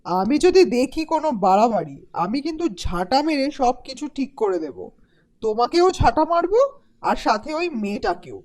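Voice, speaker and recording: A woman's voice: a little high, sing-song, brisk, a little mellow. A woman voicing a suspicious, scolding village wife in a cartoon, with a nagging manner. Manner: nagging, threatening. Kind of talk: Bengali cartoon voice acting.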